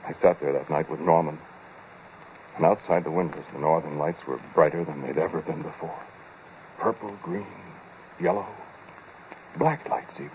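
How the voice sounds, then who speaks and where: An actor playing a haunted, obsessive scientist who narrates in halting, dramatic 1940s radio horror style, with deliberate pauses. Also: male voice, rumbling low, a little hiss or hum. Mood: hushed, uneasy. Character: haunted, obsessive male scientist narrating his strange experiment. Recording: dull vintage 1940s radio recording, loud music.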